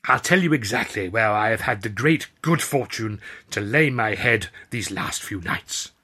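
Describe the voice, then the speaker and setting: A man's voice: fairly low pitch, lively intonation, normal speed, bright, forceful tone, husky, exaggerated voice. A man voicing a cheeky music-hall comic who plays a stowaway with grandiose, mock-pompous airs in an audiobook.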